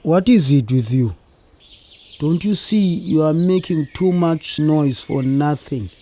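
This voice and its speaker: A slow, grumpy, sardonic dassie. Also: male voice, a little high, mellow, a little hiss or hum. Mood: irritated. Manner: sardonic, unimpressed. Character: Mbila, grumpy idle dassie, scornful and self-satisfied. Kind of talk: musical comedy audio theatre for children.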